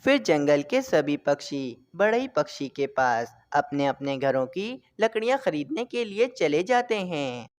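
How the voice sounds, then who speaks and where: A woman's voice: low voice, big pitch swings, a little slow, hardly any pauses, a little mellow. A woman reading scripted narration for a children's story as its narrator.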